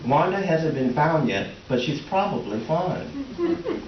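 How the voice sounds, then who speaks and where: An actor plays a sheepish middle-aged husband in a stage comedy, soothing and hesitant. His fairly high voice moves in lively swings, picked up at a distance in a roomy hall. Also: takes it slowly, exaggerated voice. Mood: placating. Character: sheepish, placating middle-aged husband caught between women. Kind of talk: comic readers theater acting and narration. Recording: roomy, distant, dull, faintly noisy stage recording.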